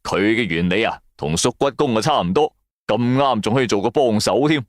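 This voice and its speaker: A man's voice: on the low side, animated, fairly quick, dry recording, studio quality. A man with a Hong Kong Cantonese accent narrating a first-person story for a radio drama in a colloquial storytelling manner. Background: music.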